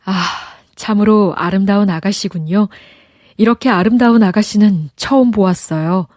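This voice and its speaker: A woman's voice: mid-range voice, moderate pace, darkish tone, no room sound, natural voice. Courteous young storybook prince, acted by a woman, gallant, earnest, formal, dry recording. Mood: admiring. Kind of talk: storybook character voice.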